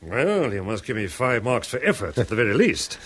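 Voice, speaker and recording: A breezy, wealthy playboy. His mid-pitched voice swings widely and theatrically, jovial and clubby, as in a seventies radio drama. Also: sing-song, fairly quick, fairly bright tone, slightly rough. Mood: playful, sly. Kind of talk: 1970s radio horror drama acting.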